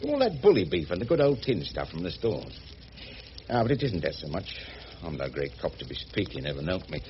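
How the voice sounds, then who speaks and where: A muffled, noisy radio drama recording of a humble riverboat man. His lowish voice rises and dips freely as he talks in a halting, homely, deferential way. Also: wildly swooping pitch, exaggerated voice. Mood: admiring, awkward. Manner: colloquial, halting, deferential, calling his companion miss. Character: rough, humble, good-hearted working-class riverboat man.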